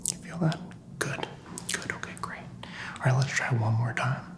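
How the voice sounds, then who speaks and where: Softly whispering man, ASMR doctor roleplay. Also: medium pitch, moderate pace. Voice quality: soft, breathy whisper. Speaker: ASMR performer playing a doctor. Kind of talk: ASMR roleplay of a medical exam. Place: small doctor's office.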